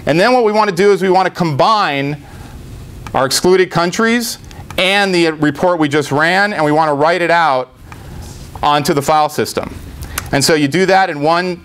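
A middle-aged man giving a live software demo in an informal, step-by-step explanatory way. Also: fairly high pitch, moderate pace, slight background noise. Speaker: tech presenter. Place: indoor meetup presentation room.

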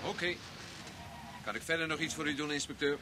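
An actor playing an eager, deferential police driver in a Dutch radio drama. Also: male voice, fairly high pitch, a little fast, pushed and bright. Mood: obliging. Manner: deferential, keen. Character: eager, obliging male police driver, deferential to his boss. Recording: very noisy old radio play.

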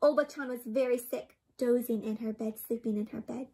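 A young woman doing an interactive storybook read-aloud for children, expressive and gently teacherly, often putting questions to her listeners. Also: a little high, fairly quick, breathy edge. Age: young adult. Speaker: children's storybook reader and host. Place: small indoor room with books and plants.

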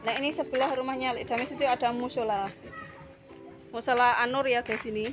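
A woman's voice: a little high, steady tone, fairly quick. Chatty Javanese woman vlogging outdoors. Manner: chatty, addresses viewers as guys often. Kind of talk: casual walking vlog. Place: outdoors in a village lane. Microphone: handheld phone at arm's length. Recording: background music.

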